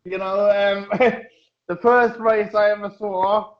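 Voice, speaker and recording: A man in his thirties from Germany, talking haltingly as an interview guest, with many fillers and restarts. His voice is high and flat, with little rise and fall. The sound is echoey and dull, like a lo-fi room recording. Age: 30s. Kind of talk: casual interview.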